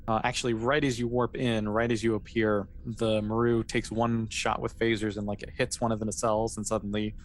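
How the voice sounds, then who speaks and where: A man's voice: lowish voice, little pitch movement, a little fast, hardly any pauses. A man narrating a tabletop role-playing game as game master, in an informal, hesitant, piecemeal way. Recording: clear background noise.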